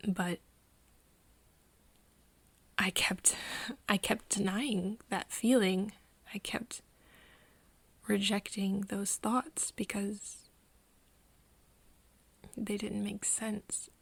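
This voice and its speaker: A woman acting an earnest, wistful friend in an intimate ASMR roleplay, speaking softly and haltingly, often near a whisper. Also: mid-range voice, steady tone, no room sound, heavily acted. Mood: wistful, sad. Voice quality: soft, breathy, often whispery. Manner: hesitant, halting, pausing often.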